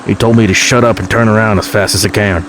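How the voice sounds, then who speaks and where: An actor playing a wry, cool-headed long-haul trucker who talks in folksy slang. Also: male voice, lowish voice, fairly even intonation, fast, fairly bright tone, lightly acted. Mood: cool, explaining. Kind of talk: naturalistic audio drama dialogue. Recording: noisy truck cab with engine. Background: vehicle engine.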